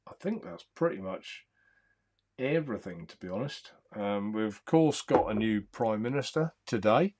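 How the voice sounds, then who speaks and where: A man hosting a live stream, chatting in a calm, soothing, easygoing way and reading out viewer comments. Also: on the low side. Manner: rambling, easygoing, chuckles often. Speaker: livestream host.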